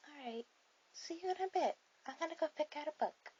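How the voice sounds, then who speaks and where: Woman voicing a high-pitched shy anime girl. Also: animated, brisk. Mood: helpful, sweet. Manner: soft-spoken, hesitant, gentle. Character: shy, sweet, bookish teenage anime girl on a date. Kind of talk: ASMR romantic roleplay addressed to the listener. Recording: dull lo-fi audio with clear hiss.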